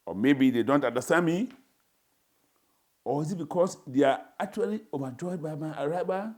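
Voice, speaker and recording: A man's voice: on the high side, expressive, normal speed. A man with a Ghanaian accent voicing an indignant newborn baby in a dramatized reading, with formal, florid, pompous phrasing. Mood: indignant, distressed. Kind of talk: dramatized first-person reading.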